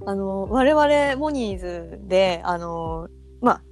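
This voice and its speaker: A woman's voice: medium pitch, animated, takes it slowly, darkish tone, faint music behind. A young woman co-hosting a casual talk show, chatting easily and listening closely. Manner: attentive, frequent backchannel nods. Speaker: podcast co-host. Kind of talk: casual chat.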